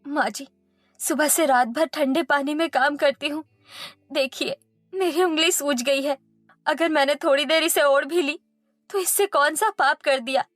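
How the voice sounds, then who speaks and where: A woman's voice: high voice, unhurried, no echo, clean studio sound, soft background music. A woman voicing a meek, suffering young daughter-in-law who speaks plaintively in a Hindi cartoon story. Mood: pleading. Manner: pleading, plaintive.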